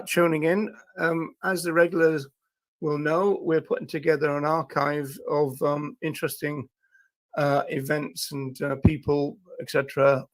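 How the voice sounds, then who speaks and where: A man hosting a livestream who talks in a rambling way, full of fillers and restarts, with a croaky voice today. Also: a little high. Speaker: livestream host. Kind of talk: casual livestream talk.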